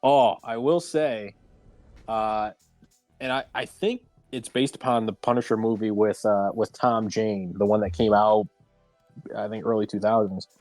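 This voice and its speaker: A man chatting casually as a panelist in a group discussion, often pausing as he searches for what to say. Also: fairly low pitch, fairly even intonation, a little mellow. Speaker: round table panelist. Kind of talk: casual group discussion about games and films. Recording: roomy echo, music underneath.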